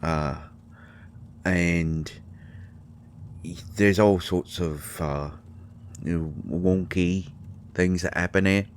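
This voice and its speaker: A man playing a slow, deep-voiced grumpy fairy healer. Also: heavily acted. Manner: hesitant, exasperated, sighing, wryly sarcastic. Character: grumpy, secretive male fairy healer tending a vampire. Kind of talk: intimate roleplay audio acting.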